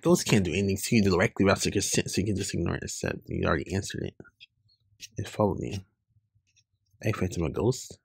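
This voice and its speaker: A man with a husky, fairly low voice reads comic dialogue aloud. He loosely acts out the lines in an informal, casual way. The sound is close and dry, with a gravelly edge. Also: no echo. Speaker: online comic reader. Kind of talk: reading webcomic dialogue aloud with casual reactions.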